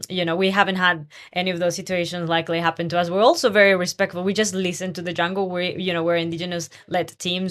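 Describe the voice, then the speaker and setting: A woman's voice: fairly low pitch, a bit bright. A woman from Peru, a scientist guest on a podcast, talking earnestly and passionately, often restarting her sentences. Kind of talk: podcast interview conversation.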